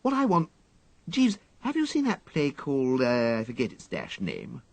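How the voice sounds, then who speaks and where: A man voicing a fretful, flighty young upper-class English gentleman who dithers and exclaims plaintively, in an audiobook story. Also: mid-range voice, huge pitch swings, moderate pace, creaky, dry recording, voice pushed up, full character voice. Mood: eager, rambling. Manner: exclamatory, dithering, drawling dandyish complaint.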